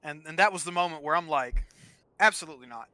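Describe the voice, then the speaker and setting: A man's voice: a little high, fast, pushed and bright, no echo, low-quality recording. A man chatting casually during gameplay, telling stories in a blunt way with some swearing. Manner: blunt, profane, storytelling. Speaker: gaming channel co-player.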